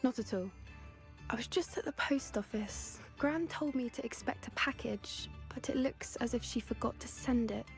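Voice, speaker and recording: A woman's voice: on the high side, moderate pace, lightly acted. A woman playing an earnest young British schoolgirl witch in a video game, polite and well-spoken. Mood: earnest, confident. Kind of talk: video game character dialogue. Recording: music behind the voice.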